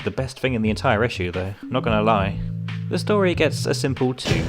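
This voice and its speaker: A man narrating a scripted comic book review in a wry, informal way. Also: fairly high pitch.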